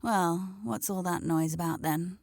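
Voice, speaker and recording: A woman voicing an old, disagreeable, sharp-tongued hen who grumbles bluntly in a children's storybook. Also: fairly low pitch, unhurried, creaky, dry recording, studio quality. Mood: sharp, skeptical.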